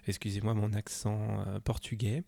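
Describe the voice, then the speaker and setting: A man's voice: lowish voice, level tone, normal speed, vocal fry, dark tone, no echo. A man hosting a radio show about books, reading his introduction with small hesitations. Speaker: radio host.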